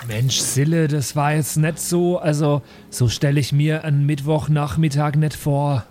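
A man's voice: a little high, fairly even intonation, measured pace, noisy background. A man playing a tabletop role-playing game, casually improvising his character's actions and talk.

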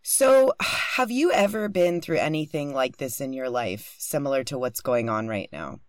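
A young woman with a low-pitched voice hosts a casual podcast, candid and full of cheerful swearing. She laughs easily and speaks close to the mic. The recording is dry and tight, made in a home closet. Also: expressive, moderate pace, no echo. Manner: candid, profane, bubbly, laughs often. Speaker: podcast host. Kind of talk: casual podcast intro and interview. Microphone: studio mic close up.

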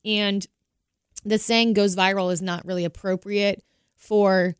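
Hoarse, chatty woman podcast co-host. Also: mid-range voice, flat delivery, measured pace, no room sound, clean studio sound. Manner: chatty, explains at length. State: hoarse with a cough. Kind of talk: casual chat.

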